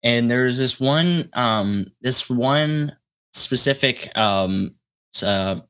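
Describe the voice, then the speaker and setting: A young adult man chatting casually as an interview guest, with a halting, rambling delivery. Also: medium pitch, animated, fairly bright tone. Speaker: guest, solo electronic musician.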